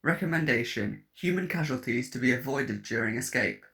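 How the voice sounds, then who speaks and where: A man's voice: medium pitch, animated, moderate pace, gravelly, sharp tone, a little hiss or hum. A man playing a menacing alien war android disguised as a teenage boy, speaking in formal, clinical phrases in an audio drama. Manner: formal, clipped, clinical speech.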